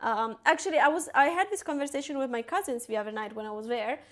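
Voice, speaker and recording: Young ukrainian-born streamer girl w italian accent, high voice, chatting with her viewers while she cooks. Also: fast. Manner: chatty, talks to viewers and reads chat aloud, lots of "like". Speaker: live streamer. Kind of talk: casual live-stream chat while cooking.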